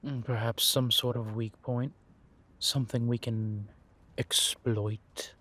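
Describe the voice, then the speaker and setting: A man playing a sly, scheming vampire spy in an audio roleplay, husky and theatrical, savoring his words in drawn-out musings. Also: medium pitch, little pitch movement, fairly bright tone. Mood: calculating, intrigued. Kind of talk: scripted audio roleplay monologue addressed to a companion.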